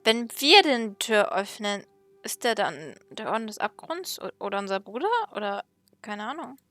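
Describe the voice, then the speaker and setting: A woman's voice: medium pitch, sing-song, moderate pace, a bit bright, no echo. A woman giving commentary while playing through a video game. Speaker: gaming video creator.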